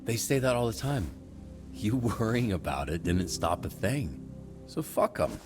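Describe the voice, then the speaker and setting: An actor playing a gruff, blunt, profane middle-aged mentor in a video game. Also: male voice, lowish voice, big pitch swings, unhurried. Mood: blunt encouragement. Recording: game audio with music behind.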